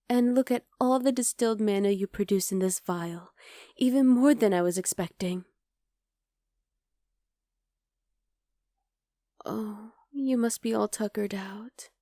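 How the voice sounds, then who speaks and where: A woman voicing a scheming, possessive witch in an ASMR roleplay, speaking softly and often half-whispering close to the mic. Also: a little high, no echo, natural voice. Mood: cooing. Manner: soft, intimate, coolly calculating, often half-whispered. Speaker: voice actor.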